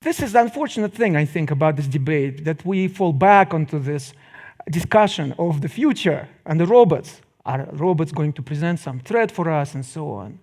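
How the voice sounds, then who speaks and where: A middle-aged man lectures to the public in Russian-accented English. His voice sits a bit higher than most men's. He phrases things deliberately, pausing for effect and adding wry remarks. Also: expressive, a little mellow. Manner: deliberate phrasing with dramatic pauses and wry asides.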